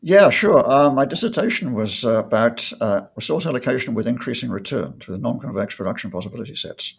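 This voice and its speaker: Gravelly older man, measured. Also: medium pitch, animated. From North Wales. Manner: measured, with frequent ums and restarts. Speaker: guest economist. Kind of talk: conversational interview.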